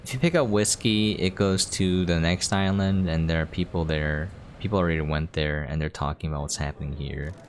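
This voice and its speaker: A man's voice: extremely low pitch, a little fast, hardly any pauses, slight background noise. A man casually explaining a game as he plays, in an offhand, explanatory way. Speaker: game preview host. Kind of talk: casual gameplay commentary.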